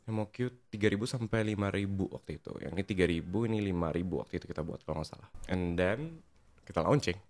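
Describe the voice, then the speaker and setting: A young man with an Indonesian accent and a lowish voice. He talks as a guest telling his own story in a casual way, slipping English phrases into his sentences. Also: animated, fast talker, a little mellow. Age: 20s. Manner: frequent English phrases mixed into speech. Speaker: podcast guest, skincare content creator and entrepreneur. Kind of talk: casual personal storytelling in an interview.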